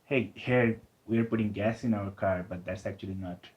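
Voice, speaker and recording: A young man from Bangladesh, a guest in a casual interview, speaking in a relaxed, hesitant way with many fillers. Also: fairly low pitch, moderate pace, dull audio.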